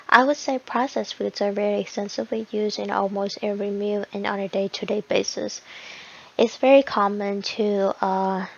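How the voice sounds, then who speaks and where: A woman's voice: medium pitch, vocal fry, lo-fi sound. A woman from Vietnam with a Vietnamese accent, giving an informal class presentation in a simple, somewhat hesitant way. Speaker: student presenter.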